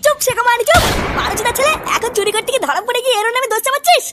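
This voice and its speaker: A woman's voice: very high-pitched, expressive, racing, very noisy. A woman voicing an angry, hot-tempered middle-aged village father, berating and swearing in a comic cartoon. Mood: furious. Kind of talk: crude comic cartoon dialogue.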